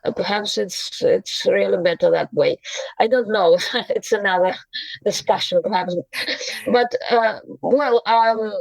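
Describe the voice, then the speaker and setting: Slow, thoughtful Russian-born woman translator. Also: medium pitch, vocal fry, no echo, poor audio. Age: around 40. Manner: thoughtful, hesitant, many pauses and fillers, soft laughs. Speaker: poet and translator, interview guest. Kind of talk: reflective interview answers.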